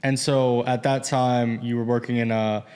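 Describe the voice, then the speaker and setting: A man's voice: mid-range voice, flat delivery, moderate pace, darkish tone, clean tone, in a large hall. A man hosting an interview, encouraging his guest with frequent "mm-hmm" and "yep" and asking follow-up questions. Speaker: podcast host. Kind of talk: casual interview chat.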